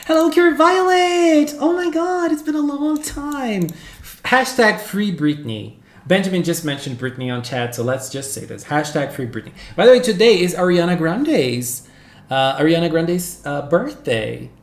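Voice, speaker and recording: A man whose first language is Portuguese, hosting a casual livestream and chatting with viewers in an animated, gushing way, squealing greetings. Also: high voice, unhurried. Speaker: livestream host. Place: home room. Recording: echoey room with faint background music.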